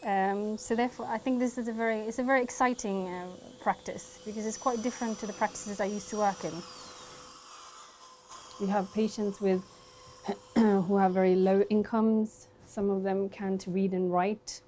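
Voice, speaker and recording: A soft-spoken woman in her twenties, a dentist raised in Sweden, talks reflectively about her work with plenty of small fillers. Her voice sits at a middle to slightly high pitch. A faint noise lies under the recording. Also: steady tone, normal speed. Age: 20s. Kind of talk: documentary interview.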